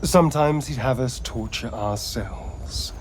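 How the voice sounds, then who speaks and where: An actor playing a suave, sardonic vampire rogue in a video game, with an arch, theatrical drawl. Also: male voice, mid-range voice, slow pace, slight background noise, natural voice. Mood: bitter, guarded. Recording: music playing behind the voice.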